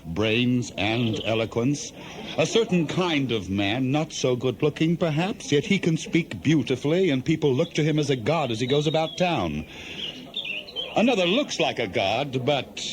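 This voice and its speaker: A man's voice: medium pitch, lightly acted. An actor playing a proud, weary, eloquent seafaring king in a 1970s radio play. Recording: crowd behind, dated cassette radio drama.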